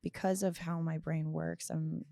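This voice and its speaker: A young woman in her early twenties with a lowish, crackly voice talks candidly in an interview. She is reflective and halting, reworking her sentences as she goes. The recording is close and dry. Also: flat delivery, gravelly, warm tone, no echo. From United States, grew up partly in Florida and abroad. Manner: halting, reflective, self-correcting. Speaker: podcast guest. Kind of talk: candid conversational interview answers. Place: small indoor lounge, on a leather couch. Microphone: close boom-arm podcast microphone with headphones.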